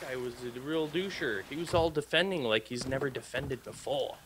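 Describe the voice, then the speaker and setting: Goofy gamer man over clear game noise. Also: medium pitch, fairly quick. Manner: goofy, joking. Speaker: video game player. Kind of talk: casual gameplay banter with a co-player. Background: video game sound effects.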